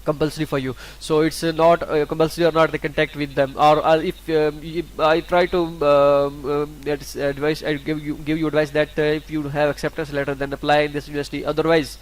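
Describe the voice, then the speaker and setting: Halting man. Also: on the high side, little pitch movement, rough recording. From Pakistan. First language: Urdu. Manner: halting, many fillers and restarts. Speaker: scholarship guide YouTuber. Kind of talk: screen-recorded tutorial walkthrough.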